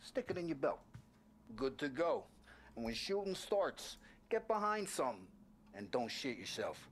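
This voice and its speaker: A gruff old mob gunsmith, played by an actor, coaches in blunt tough talk. His pitch swings lively. Faint noise sits under the game audio. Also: normal speed, natural voice. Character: gruff older male gangster gunsmith.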